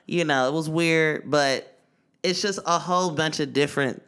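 Man, podcast co-host, from Oakland. Loose, slangy storyteller with a bright voice. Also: fairly high pitch, moderate pace, smooth. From East Oakland, California. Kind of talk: casual podcast chat.

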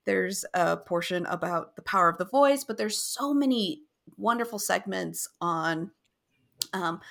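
Young woman podcast host, scratchy and gravelly, eager. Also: fairly low pitch, a bit bright. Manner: enthusiastic, gushing. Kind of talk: casual podcast interview.

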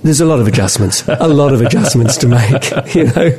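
A middle-aged man from England, a podcast guest talking in a relaxed, wry way, laughing easily and pausing often. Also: medium pitch, slight background noise. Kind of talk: casual interview chat.

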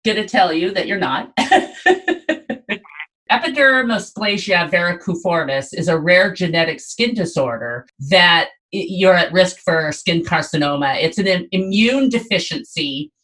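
A woman's voice: lowish voice, lively intonation, measured pace, a bit bright, rough recording. A woman hosting a casual podcast quiz, explaining things in a conversational way. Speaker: podcast host quizzing a guest.

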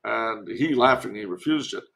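A man's voice: lowish voice, moderate pace, creaky, fairly bright tone. An older man speaking as a podcast guest in a discursive, emphatic storytelling manner, mixing commentary with anecdotes.

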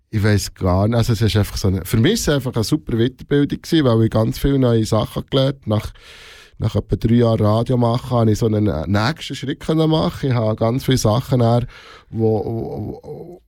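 A middle-aged man with a Swiss German accent, talking casually and reflectively in an interview, a little halting and informal. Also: mid-range voice, dark tone, no room sound, studio quality. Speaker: radio show host being interviewed.

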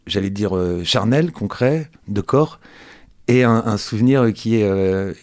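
A man hosting a conversational arts interview, speaking in halting, digressive phrases as he feels his way to each question. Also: on the low side. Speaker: radio show host interviewing guests.

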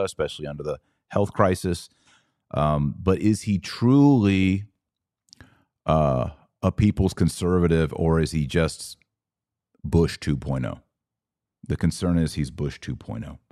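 A man's voice: sits low, a little mellow, dry recording, clean studio sound. An American man hosting a podcast, speaking casually and off the cuff as he reads out and answers viewer comments. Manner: conversational, reads aloud then responds off the cuff. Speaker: podcast host.

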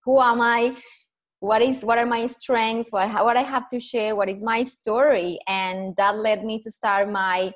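A woman's voice: fairly high pitch, fairly even intonation, unhurried. A woman from Colombia with a Colombian accent, a non-native English speaker, telling her story earnestly as a podcast guest. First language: Spanish. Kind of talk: podcast interview.

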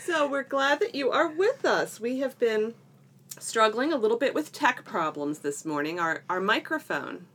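A woman's voice: fairly high pitch, sing-song, moderate pace, fairly bright tone. A middle-aged woman co-hosting a podcast in a casual, chatty style with a playful, bantering manner. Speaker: podcast co-host.

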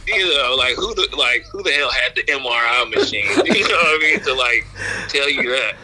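A man calling in as a podcast guest, telling an anecdote casually in a halting, repetitive, slangy and wry way. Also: medium pitch, expressive, bright, forceful tone, a little hiss or hum, poor audio.

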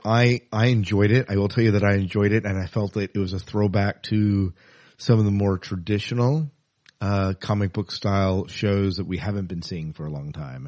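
A man's voice: fairly low pitch, darkish tone. A man hosting a podcast interview, chatting informally and often giving short affirmations. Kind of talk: casual interview chat.

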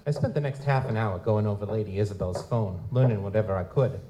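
A man's voice: fairly low pitch, quick pace, muffled recording, natural voice. A man playing a wry, streetwise goblin-like private investigator in a noir audio drama, speaking in casual slang. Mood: brooding narration. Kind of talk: live audio drama dialogue and first-person narration. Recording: noticeable background noise.

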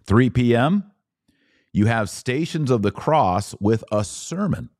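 A man's voice: mid-range voice, expressive, slow, a little mellow, dry recording, studio quality. A man hosting a religious podcast, explaining things in a conversational, didactic way with emphatic restatements. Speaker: podcast host.

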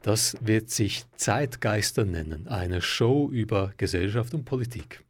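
A man from Croatia, a political scientist, answering interview questions calmly and fluently. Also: lowish voice, unhurried, husky. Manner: calm, fluent and articulate. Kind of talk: radio interview.

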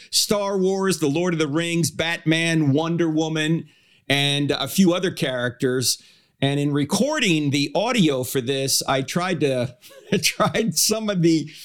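A hoarse man with a New Jersey accent hosting a radio show and podcast, talking in an energetic, emphatic way. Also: on the high side. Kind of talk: casual radio talk.

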